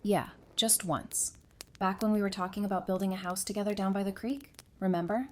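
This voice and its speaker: A woman's voice: medium pitch, brisk, darkish tone, close to an everyday voice. A woman playing an affectionate, teasing young wife in an audio drama, talking in a casual, conversational way. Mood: tender, candid. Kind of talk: fan audio drama acting. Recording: clear background noise and ambience.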